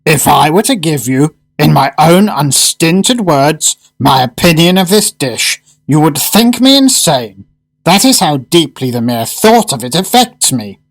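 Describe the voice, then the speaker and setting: An actor playing a knowing gourmet connoisseur in a radio drama, speaking with formal, emphatic and reverent phrasing. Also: male voice, a little high, very animated, measured pace, heavily acted, low-quality recording.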